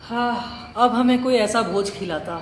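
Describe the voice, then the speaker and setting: An actor playing a poor, envious young village son in an audio play. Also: male voice, extremely high pitch, a little slow. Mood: envious, eager. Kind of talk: Hindi audio play dialogue. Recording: roomy echo, crickets, faint noise.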